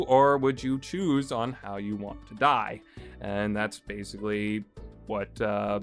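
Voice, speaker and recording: A man's voice: mid-range voice, a bit bright. Casual rambling male podcast reviewer. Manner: rambling, many "uh" and "you know" fillers. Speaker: podcast host reviewing games.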